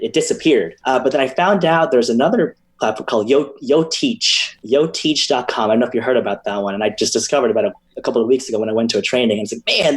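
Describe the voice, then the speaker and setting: A man, a guest educator, enthusiastically explaining teaching ideas in a casual podcast discussion, often restarting his sentences. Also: medium pitch.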